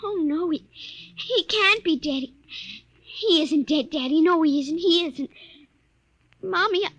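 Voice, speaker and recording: Very high-voiced plaintive little girl. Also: takes it slowly, a bit bright, heavily acted. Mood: distressed disbelief. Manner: plaintive, childlike protesting. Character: sweet, stubborn nine-year-old girl, easily upset. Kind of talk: 1950s radio drama acting. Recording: old radio drama with music.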